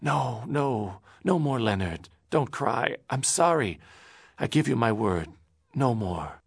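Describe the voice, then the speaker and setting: A man's voice: low-pitched, fast talker, no echo, studio quality. A man voicing an older grocer and father who talks with raw emotion. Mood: soothing. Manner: pleading then shouting, emotional. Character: weary immigrant male grocer, older father.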